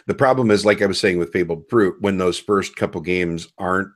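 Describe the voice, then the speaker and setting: A man in his forties hosting a casual chat about board games on a video call, quick with jokes and asides. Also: low voice. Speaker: host. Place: home room, posters on wall. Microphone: headphones on a video call.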